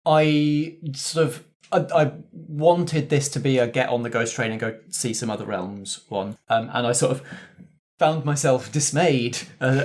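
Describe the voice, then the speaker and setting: A British man speaks as a game master looking back on a session with friends. His pitch sits in the middle, and he explains things in long, looping thoughts. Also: a little mellow. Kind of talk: casual cast discussion of a tabletop game.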